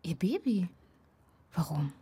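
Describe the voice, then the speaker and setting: An actress playing an awkwardly friendly police officer in a naturalistic radio drama, questioning gently and hesitantly. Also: female voice, on the low side, very animated, slow pace, husky, dry recording, heavily acted. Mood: reassuring.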